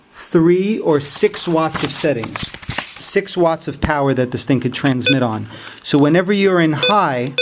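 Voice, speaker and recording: A man giving a casual, unscripted product review in a hesitant, halting way. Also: on the high side, a little hiss or hum.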